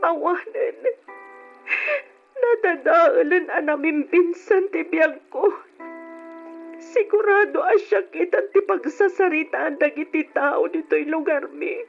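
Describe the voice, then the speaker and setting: A woman's voice: very high voice, slow pace, exaggerated voice. A woman acting as a distraught figure in a tragic Ilocano radio melodrama, drawing out her words tearfully. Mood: anguished, tearful. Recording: dull old radio recording with music.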